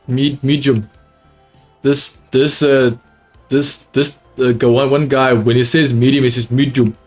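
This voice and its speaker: A young man streams live reactions to a motor race, talking in English that is not his first language, with an accent that comes close to British. He gets excited, repeats his own phrases and calls his viewers dude. Faint music plays low behind him. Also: unhurried. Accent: close to British, not fully. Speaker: livestream host watching a race. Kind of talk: casual live reaction commentary.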